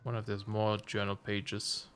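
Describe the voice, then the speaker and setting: Slightly hoarse male game streamer. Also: fairly low pitch, completely flat delivery, moderate pace, faint music behind. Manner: chatty, muses aloud with self-amused asides. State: slightly hoarse, losing his voice. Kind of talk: casual let's-play commentary.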